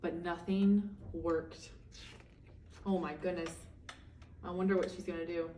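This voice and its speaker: A woman's voice: on the low side, a little hiss or hum. Woman teacher reading aloud, echoey room. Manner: expressive, pausing to ask listeners questions. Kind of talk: children's picture book read-aloud. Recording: echoey room, distant microphone.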